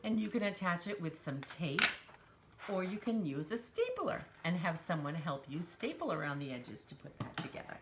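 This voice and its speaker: A woman's voice: lowish voice, very animated, moderate pace, very noisy. A woman hosting a children's storytime, talking simply and encouragingly to young children as she demonstrates a craft. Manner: refers to herself in third person.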